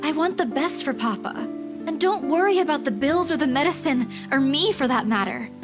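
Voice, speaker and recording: A woman's voice: high-pitched, animated, moderate pace, over music. An actress voicing an anxious, dutiful young working woman in an earnest, emotive visual novel performance. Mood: reassuring, dutiful.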